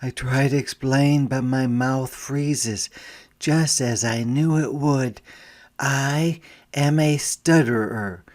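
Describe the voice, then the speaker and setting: A man reads a storybook aloud in a medium-pitched voice. His pace is slow and deliberate, like a teacher with young listeners. He steps out of the story now and then to explain things more quietly. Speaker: teacher reading a picture book aloud. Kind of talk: interactive read-aloud storytelling with explanatory asides.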